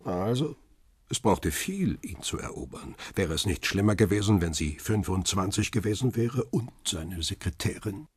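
A man playing a middle-aged, reflective photographer who narrates a radio crime drama in a restrained, husky voice. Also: deep voice, expressive, moderate pace, dry recording, heavily acted. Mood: probing. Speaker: narrator and lead character.

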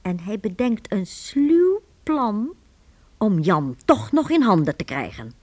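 A woman storyteller narrates a radio drama. Her voice is husky and lively, moving freely up and down as she reads the scripted tale. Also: medium pitch, takes it slowly. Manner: animated, storybook delivery.

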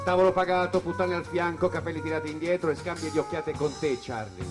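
An actor playing an ailing jazz musician in a dramatic stage monologue, looking back on wild nights from a hospital bed. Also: male voice, fairly high pitch, fast talker, music in the background, natural voice. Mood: reminiscing. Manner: dramatic, swinging between brooding and excited.

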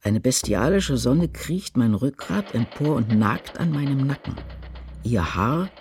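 A man performing dramatic poetry in a radio play as a grave witness of war, with intense, deliberate declamation. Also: mid-range voice, sing-song, a little mellow, slight background noise. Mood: fierce. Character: grave male poetic witness of war and apocalypse.

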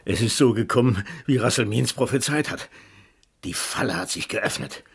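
An actor playing an excitable old Dakota grandfather who exclaims and urges in a German radio play. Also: mid-range voice, normal speed, creaky, slight background noise, natural voice. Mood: confident, knowing. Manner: animated, exclaiming.

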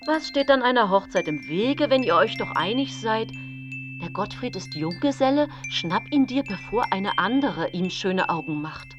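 A woman's voice: medium pitch, lively intonation, moderate pace, over music, natural voice. An actress playing a pushy, meddling older mother of a grown daughter in a radio drama. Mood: urging.

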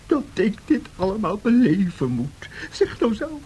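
A man's voice: high-pitched, sing-song, measured pace, dark tone, breathy edge, heavily acted. A man acting a despairing, tearful American president in a Dutch radio drama, with theatrical, lamenting delivery. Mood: exasperated, despairing. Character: despairing, tearful American president, anxious about his image.

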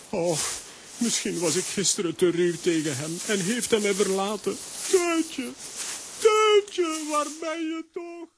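A soft-hearted, dramatic wanderer who speaks extremely slowly with big swings of pitch. He sighs and laments plaintively in a children's radio play, with a faint hiss on the recording. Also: full character voice. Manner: sighing, plaintive, repetitive. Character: sentimental, dramatic male wanderer fond of his friend.

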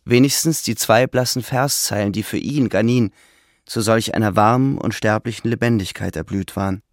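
A man narrating a literary radio play from a script in measured storytelling. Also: fairly low pitch, dry recording, clean studio sound.

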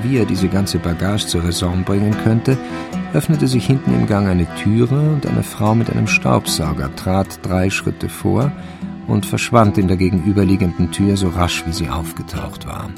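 A man narrating a radio crime drama as a measured, literary storyteller. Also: fairly low pitch, vocal fry, over music.

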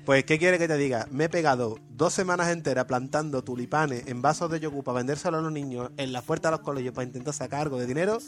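A Spanish man with an Andalusian lilt chats on a podcast, teasing and playful. Music plays clearly in the background. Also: fairly high pitch, quick pace, few pauses. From Spain. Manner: joking, playful. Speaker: podcast co-host. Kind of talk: casual chat.

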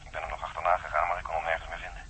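A man's voice: low voice, monotone, rapid-fire. A man acting in a radio drama as a concerned friend talking through a telephone filter. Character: Stevens, male friend heard over the telephone. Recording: thin telephone line, faint noise.

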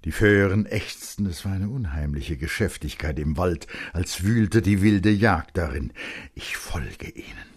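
A man reads literary fiction as a radio narrator. His voice is very low and husky, and he shapes his phrases deliberately, with expressive suspense.

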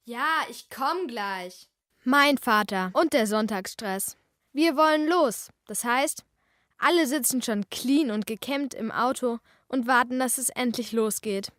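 A pert young girl chats away in a high voice. She is cheeky and expressive, a bike-loving kid from a children's audio drama, recorded close and dry. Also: measured pace, dry recording. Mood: grumbling, cheeky. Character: lively, cheeky girl detective who loves cycling. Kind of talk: children's radio play narration and dialogue.

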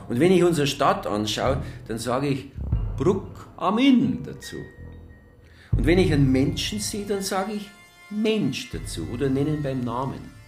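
Slow, animated Bavarian townsman. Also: on the high side. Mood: deliberate, wry. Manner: deliberate, folksy, sardonic. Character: plain-spoken, stubborn male Bavarian townsman speaking up at meeting. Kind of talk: homespun speech in a radio play. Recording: roomy hall with faint music.

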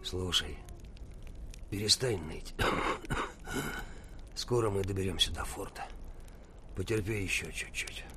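A steady, low-voiced sceptical hunter. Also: male voice, slow, pauses often, a little hiss or hum, full character voice. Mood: impatient, reassuring. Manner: calm, dismissive, matter-of-fact. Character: Henry, steady, sceptical, gruffly patient male frontier hunter. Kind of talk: Russian audio drama acting.

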